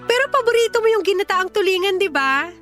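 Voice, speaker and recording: A woman's voice: extremely high pitch, lively intonation, fast talker, a bit bright, soft background music. An actress playing a clingy young mother in a radio drama, pleading insistently with her former partner.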